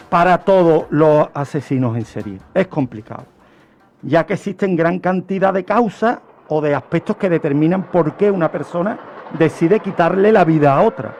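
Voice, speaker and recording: Spanish guy with a high voice teaching true crime on radio, some music behind him. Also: creaky, darkish tone. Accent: Spanish, from Spain. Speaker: true-crime segment presenter on a radio show. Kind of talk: semi-scripted explanation of a crime case.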